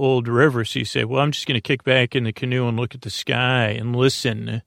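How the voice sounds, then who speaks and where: A man hosting a sleep podcast in a meandering, halting, gently silly monologue that keeps trailing off and circling back. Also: medium pitch, creaky, no echo, studio quality. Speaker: podcast host.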